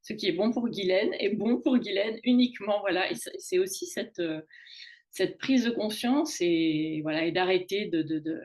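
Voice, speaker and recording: A woman's voice: mid-range voice, a little mellow. A woman in her late forties speaks as a guest on a talk show, in a loose conversational way. She often stops, repeats a word and restarts, filling the gaps with small fillers as she thinks aloud. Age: late 40s. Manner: halting, many restarts and fillers. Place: in Canada.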